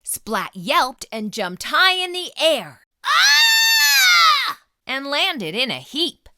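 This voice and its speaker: Animated, high-voiced woman reading to children. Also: slow, no echo, clean studio sound. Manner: animated, dramatic storytelling. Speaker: children's storytime host. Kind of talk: expressive children's book read-aloud.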